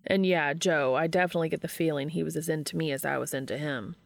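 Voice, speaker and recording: A woman's voice: sits low, steady tone, fast, vocal fry, dry recording. A woman voicing a dry, sarcastic young man who gets flustered, in a story reading. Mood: matter-of-fact.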